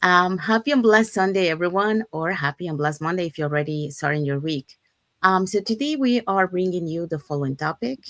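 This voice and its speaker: A woman's voice: lowish voice, fairly quick, dry recording, clean studio sound. A woman from Panama co-hosting a live-stream chat, explaining step by step with many "um"s and "so"s. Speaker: co-host.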